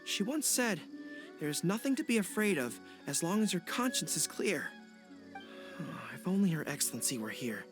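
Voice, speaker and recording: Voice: medium pitch, very animated, normal speed, over music, natural voice. An actor playing an earnest, devoted warrior officer in a video game, speaking formally and solemnly with reverence. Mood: solemn, wistful. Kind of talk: video game cutscene acting.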